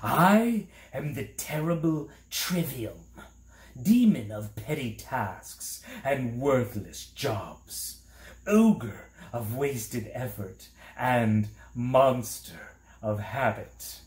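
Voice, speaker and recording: Storybook villain: a man playing a menacing demon of drudgery, slyly coaxing, unhurried, in a roomy space. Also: mid-range voice, lively intonation, dark tone. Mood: triumphant, menacing. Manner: drawn-out, theatrical, deceitfully coaxing. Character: villainous male demon of petty tasks, sly and menacing.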